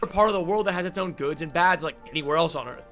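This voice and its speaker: A man reading scripted narration for a video essay in a measured, explanatory style. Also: fairly high pitch, fairly bright tone, soft background music.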